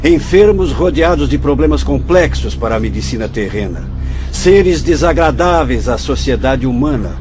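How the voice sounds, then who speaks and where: A Brazilian man acting in a radio drama as a solemn, fatherly spirit doctor who guides visitors through a hospital, speaking formally and didactically in measured phrases. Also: mid-range voice, lively intonation, over music, natural voice. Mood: grave, instructive. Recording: dull lo-fi audio, faint noise.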